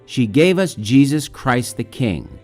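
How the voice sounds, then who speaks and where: A man's voice: medium pitch, sing-song, takes it slowly, darkish tone, faint music behind. A man reading a rhyming religious picture book aloud for children in a storybook style. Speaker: book reader.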